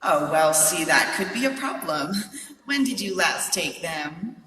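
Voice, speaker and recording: A woman's voice: fairly low pitch, lively intonation, measured pace, bright, forceful tone. A woman playing a harried but patient doctor in a comedy radio play, placating and matter-of-fact. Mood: businesslike. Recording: echoey hall, picked up from a distance.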